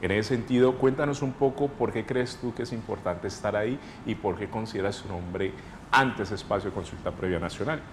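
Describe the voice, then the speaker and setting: A man's voice: on the low side, brisk, slight background noise. A man interviewing a guest, asking long questions and giving short, respectful affirmations.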